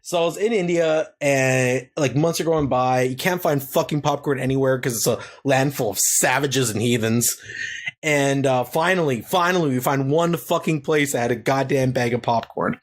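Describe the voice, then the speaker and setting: A man's voice: mid-range voice, moderate pace. A young man telling a long crude story on a casual comedy podcast, rambling and full of swearing. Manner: crude, profane, rambling anecdotes. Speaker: podcast co-host.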